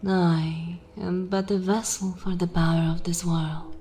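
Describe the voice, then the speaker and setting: A woman's voice: fairly low pitch, little pitch movement, dark tone, a little hiss or hum, lightly acted. A woman voicing a seductive, imperious vampire mistress in an ASMR fantasy roleplay, commanding and coldly mocking, sometimes dropping into a whisper. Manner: slow, commanding, theatrical, breathy whispering at times.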